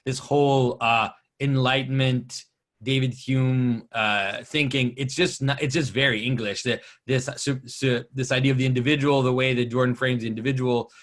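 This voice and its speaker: A man's voice: mid-range voice, steady tone, normal speed, a bit bright. Reflective man. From Quebec. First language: French. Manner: reflective, self-questioning, many restarts. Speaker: host. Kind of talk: casual conversation.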